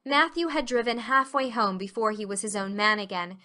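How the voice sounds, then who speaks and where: A woman's voice: a little high, steady tone, normal speed, pushed and bright. A woman narrating an audiobook story as a scripted dramatic reading.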